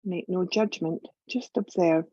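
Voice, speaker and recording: A woman leading a guided meditation as a yoga teacher, calm and measured, giving gentle instructions with long pauses. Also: fairly low pitch, dry recording, highs cut off.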